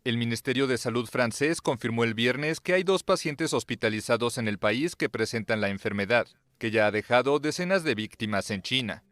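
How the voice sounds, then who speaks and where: A man reading a news report in a steady, formal manner. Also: medium pitch, normal speed, few pauses, no echo. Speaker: news reader.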